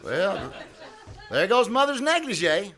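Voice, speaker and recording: A man's voice: sits high, very animated, slow pace, pushed and bright, some chatter behind, full character voice. A man playing a shy, earnest schoolteacher with a hesitant stammer, in a live old-time radio comedy. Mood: rueful. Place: small-town theater stage, live performance. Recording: faintly noisy live stage recording.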